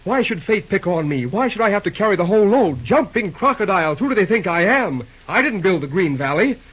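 An actor playing a harried, exasperated young railroad superintendent in an old-time radio serial, exclaiming, grumbling and stammering. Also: male voice, sits high, big pitch swings, a little fast, husky, exaggerated voice. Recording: dull old radio-show recording.

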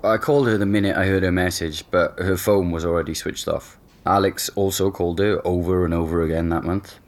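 Young guy getting interviewed at home, deep voice, casual, kinda hesitant, natural audio drama. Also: fairly even intonation, brisk. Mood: candid, puzzled. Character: easygoing young boyfriend reluctantly being interviewed at home.

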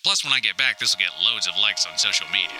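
A man's voice: on the low side, expressive, quick pace, loud music behind the voice, natural voice, low-quality recording. A man playing an anxious, wry grown son recording a wordy, mock-dramatic audio diary. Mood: uneasy, insistent. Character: anxious, wry grown son lost in hoarder mother's house. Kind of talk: scripted comic audio diary monologue.

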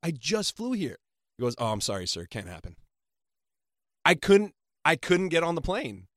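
A man on a talk show panel, chatting casually and telling animated anecdotes. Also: a little high, moderate pace, no room sound, clean studio sound. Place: dry radio studio.

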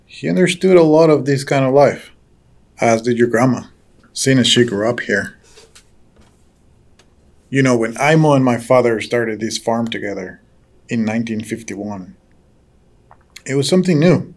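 Audio drama role: older male farm patriarch man acting, folksy storyteller, courteous, gently probing, medium pitch. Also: lively intonation, normal speed, long pauses, lightly acted. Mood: fond, reminiscing. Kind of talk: naturalistic audio drama dialogue.

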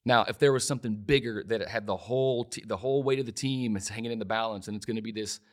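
A man's voice: on the low side, fast. A man of forty in a casual interview, talking emphatically and repeating phrases, with frequent restarts. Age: 40s. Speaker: podcast guest.

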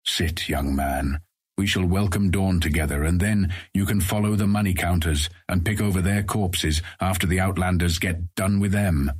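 Stern, husky, deep-voiced road ranger. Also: male voice, normal speed, dry recording, clean studio sound. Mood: gruff, composed. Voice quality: cold, flat, husky voice. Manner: curt, commanding, formal speech. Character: cold, stern ranger guarding the road, older than Raylan. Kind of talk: full-cast fantasy audiobook acting.

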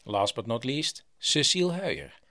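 A man's voice: mid-range voice, sing-song, unhurried, sharp tone, no echo, studio quality. A man hosting a podcast in casual, chatty speech. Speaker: podcast host. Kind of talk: casual chat.

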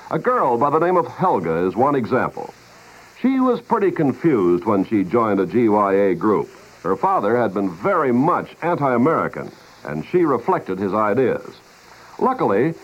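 Animated male announcer on muffled old radio. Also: medium pitch. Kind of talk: 1950s scripted radio public-service narration. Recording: muffled 1950s radio broadcast.

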